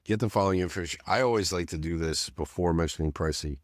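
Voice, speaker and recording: A middle-aged man with a slightly nasal voice from a cold, explaining a software setup in a loose, rambling way full of "you know" and restarts. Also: sits low, quick pace, no echo, clean studio sound. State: getting over a cold. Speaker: self-storage business owner teaching automation. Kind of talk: screen-share tutorial narration.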